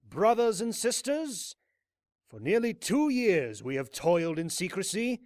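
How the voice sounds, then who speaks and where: A man voicing a fanatical unicorn cult leader in a fantasy story, orating grandly like a preacher with dramatic pauses. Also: sits high, very animated, darkish tone, no room sound, clean studio sound, voice pushed up. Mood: solemn, proud. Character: fanatical male unicorn cult leader rallying his followers.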